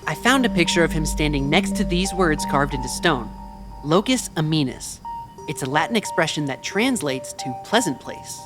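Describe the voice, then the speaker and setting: An actor playing a reserved, bookish young tech researcher in an audio drama, speaking in a measured way. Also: medium pitch, animated, natural voice. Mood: earnest, measured. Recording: clear music bed behind the voice.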